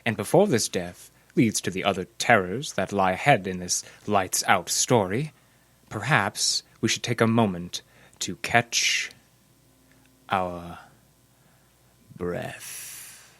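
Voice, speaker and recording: A man narrating an old-time radio horror show as host, measured and solemn with dramatic pauses. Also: deep voice, fairly bright tone, dry recording. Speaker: announcer, host.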